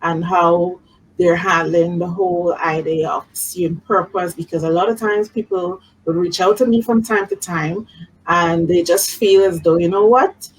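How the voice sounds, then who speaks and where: A woman's voice: fairly low pitch, steady tone, moderate pace, a little hiss or hum, poor audio. A woman hosting a casual live-streamed interview, effusive and encouraging in the way she talks. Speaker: host. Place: video call live stream.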